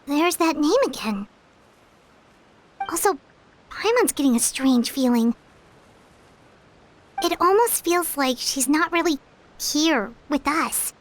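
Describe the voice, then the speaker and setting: A woman's voice: high-pitched, animated, takes it slowly, pauses often, a little hiss or hum. A woman voicing a tiny, childlike fairy companion in a video game, earnest and chatty in manner. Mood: puzzled, concerned. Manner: refers to self in third person, childlike. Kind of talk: scripted video game voice acting.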